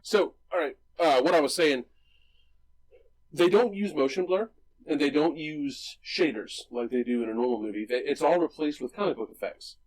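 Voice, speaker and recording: A man's voice: fairly high pitch, moderate pace, a little mellow, faint music behind, low-quality recording. Chatty male movie podcaster. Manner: enthusiastic, explains with many restarts and "right?". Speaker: podcast co-host. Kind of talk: casual movie discussion.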